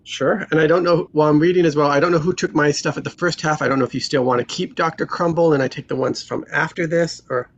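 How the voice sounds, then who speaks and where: A man's voice: on the high side, fairly even intonation, quick pace, few pauses. A man talks casually on a group call, polite and deferential toward the others. The audio is a little dull and lo-fi, like an ordinary online connection. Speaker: table read reader.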